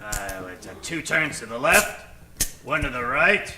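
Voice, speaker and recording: Slow, blustering space captain, played by a man. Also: mid-range voice, very animated, a crowd in the background. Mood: baffled, suspicious. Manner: exclamatory, melodramatic. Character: blustering male space ship captain, easily baffled. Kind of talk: old-time radio parody acting. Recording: roomy stage recording with music.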